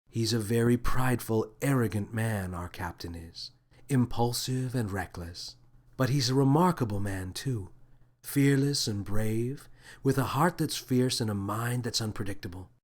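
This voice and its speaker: A man voicing a gentle, wise female alien officer with a soft, soothing, measured delivery in an audio story. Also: lowish voice, natural voice. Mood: fond. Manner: calm, measured, thoughtful.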